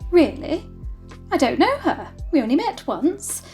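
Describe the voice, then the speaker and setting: A woman's voice: sits high, sing-song, a little slow, darkish tone, faint music behind, exaggerated voice. An actress playing a poised, sociable titled lady with coolly polite, genteel manners in an audio drama. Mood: cool, curious.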